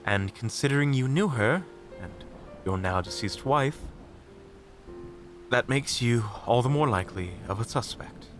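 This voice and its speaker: A man playing a prim, very animated monster hunter. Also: mid-range voice, unhurried, pauses often, faint music behind. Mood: cool suspicion. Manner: formal, prim, wryly courteous. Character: polite, unflappable, theatrical monster hunter.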